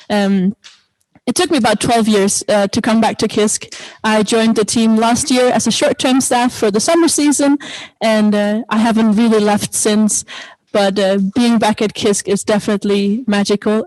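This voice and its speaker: A woman's voice: medium pitch, fairly even intonation, moderate pace, a little mellow, dry recording, low-quality recording. A woman with a Danish accent talking informally and telling a personal story as a webinar panelist. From Denmark. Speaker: guest services director, panelist.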